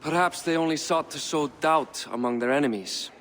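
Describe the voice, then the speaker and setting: A man's voice: fairly high pitch, normal speed, a bit bright, a little hiss or hum, close to an everyday voice. Man playing a shrewd, probing royal advisor.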